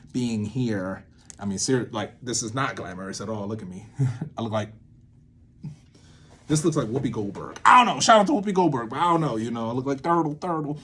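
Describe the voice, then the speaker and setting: Low-pitched man thinking aloud, noisy recording. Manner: informal, rambling, often breaking into drawn-out or emphatic words. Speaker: video series host, theatre maker. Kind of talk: casual unscripted creative-process talk, thinking aloud.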